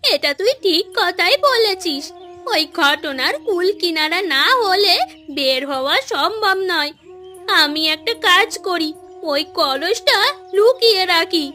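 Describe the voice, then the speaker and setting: A woman's voice: very high voice, lively intonation, takes it slowly, pushed and bright, faint music behind, heavily acted. A woman voicing an anxious village mother in a fairy-tale cartoon, fretful and emotional about her son. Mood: puzzled.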